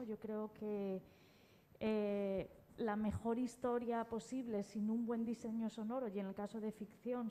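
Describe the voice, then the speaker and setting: Mellow, hesitant woman from a panel, noisy recording. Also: mid-range voice. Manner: hesitant, searching for words. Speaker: panel guest. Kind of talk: unscripted panel discussion. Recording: clear background noise, room ambience.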